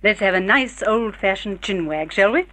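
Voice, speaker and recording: A woman's voice: medium pitch, expressive, normal speed, a bit bright, natural voice. A woman playing a sly, fun-loving socialite, arch and teasing, in a vintage radio drama. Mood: playful, flirtatious.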